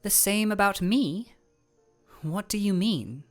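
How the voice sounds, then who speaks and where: A woman playing a cool, composed young ice royal with dry wit in a fantasy audio roleplay, speaking in a measured, formal, teasing way. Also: medium pitch, soft background music, natural voice. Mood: measured, probing.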